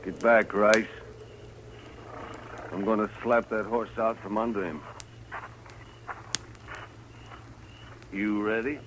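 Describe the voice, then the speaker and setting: Low-voiced, menacing American lynch-mob leader. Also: male voice, steady tone, unhurried, fairly bright tone. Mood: grim, gloating. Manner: taunting, menacing. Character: cruel vengeful man leading a Wild West lynching. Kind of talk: 1950s radio western acting. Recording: noisy vintage radio drama recording.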